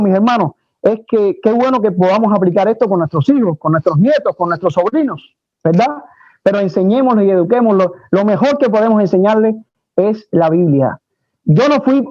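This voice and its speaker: A man's voice: high voice, warm tone, no room sound, dull audio. A middle-aged Cuban man with a Cuban Spanish accent, preaching a sermon and telling stories with frequent hesitations. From Cuba. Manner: earnest storytelling with frequent hesitations. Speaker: pastor.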